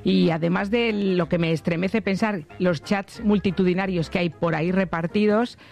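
High, gravelly Spanish male radio presenter. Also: fairly even intonation, moderate pace, darkish tone, soft background music. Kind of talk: spoken news commentary.

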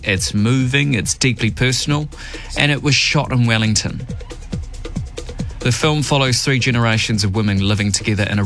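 A man with a New Zealand accent hosting a radio show, giving emphatic opinion commentary and reading news headlines. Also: lowish voice. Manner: emphatic, opinionated, varied delivery. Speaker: radio host.

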